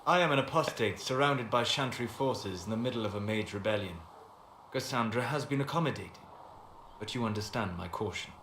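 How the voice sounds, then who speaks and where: A low, composed voice of a reserved elven scholar-mage in a fantasy game. He speaks formally and eloquently, with a wistful gravity. The sound is roomy, with faint noise behind it. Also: brisk, a bit bright. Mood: guarded, courteous. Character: calm scholarly male elf apostate mage, wistful and reserved. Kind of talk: video game dialogue acting. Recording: echoey room with background noise.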